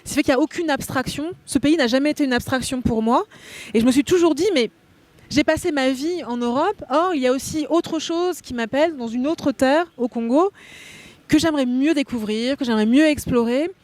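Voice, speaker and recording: A woman's voice: high voice, brisk, darkish tone, no room sound. A woman raised in France, a guest thinker explaining ideas at length on a cultural talk show. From born in Belgium, raised in France. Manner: articulate, academic, explains concepts at length. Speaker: guest philosopher. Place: hotel meeting room.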